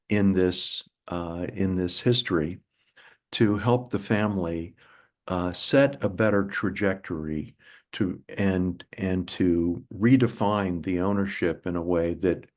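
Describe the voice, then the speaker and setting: A man hosting a webinar as a professor, asking questions haltingly and repeating words as he forms them. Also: deep voice, a little mellow. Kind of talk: moderating a webinar.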